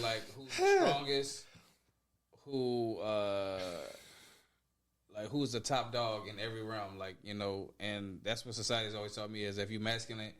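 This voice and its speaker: A man with a New Orleans accent on a casual podcast, halting as he searches for words and peppering in slang. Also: fairly low pitch, fairly bright tone. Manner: halting, searches for words, says "dog" and "bro". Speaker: podcast co-host.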